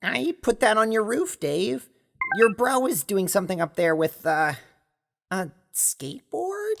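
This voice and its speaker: A woman's voice: medium pitch, big pitch swings, unhurried, gravelly, music in the background. A woman voicing a cheerful, slightly scatterbrained gamer girl in an audio drama, casual and playful. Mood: uneasy.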